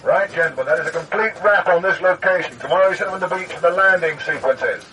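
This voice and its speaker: An actor playing a brisk, no-nonsense first assistant film director in a radio drama. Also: male voice, fairly high pitch, bright, forceful tone.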